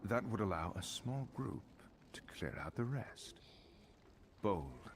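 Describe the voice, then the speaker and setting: A bold fantasy game adventurer. His low voice is terse and confident. Noise is clearly heard behind him. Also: sing-song, takes it slowly, full character voice. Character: bold, decisive male adventurer. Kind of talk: dramatic video game acting.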